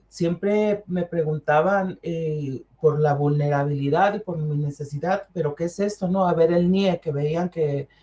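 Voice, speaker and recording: A woman's voice: low-pitched, dark tone. Candid Mexican woman on a panel. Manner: candid, conversational, many fillers and restarts. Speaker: panel guest. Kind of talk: online panel discussion.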